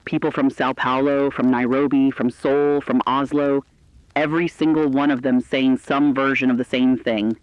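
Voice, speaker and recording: A man's voice: medium pitch, little pitch movement, normal speed, no room sound, natural voice, poor audio. A man playing a reassuring, compassionate news co-anchor, earnestly consoling listeners in a scripted broadcast. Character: reassuring, compassionate co-anchor comforting frightened audience.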